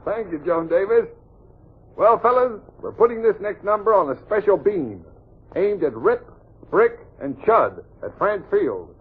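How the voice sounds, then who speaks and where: A man with a husky voice hosting a wartime variety show, announcing in 1940s radio style to servicemen. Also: high voice, lively intonation, a little slow, slight background noise. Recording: muffled vintage 1940s radio broadcast.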